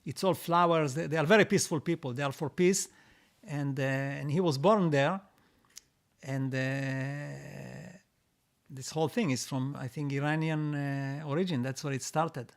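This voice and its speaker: A man hosting a live stream, reading out viewer comments and answering them in a halting way, with many restarts and repeated words. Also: a little high. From Israel. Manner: reads out comments, then answers.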